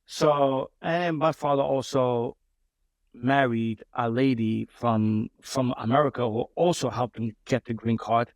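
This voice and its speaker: A man's voice: medium pitch, fairly even intonation, unhurried, no room sound. A man, a guest in a casual interview, telling his story with many repeats and restarts. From Brooklyn, New York. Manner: repeats words and restarts often.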